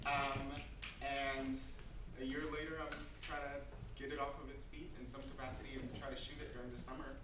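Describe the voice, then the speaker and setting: A man speaking casually and haltingly as the writer welcoming people to a staged reading. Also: medium pitch, little pitch movement. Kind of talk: informal welcome speech. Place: live event in a room, mic far off. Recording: distant mic in echoey noisy room.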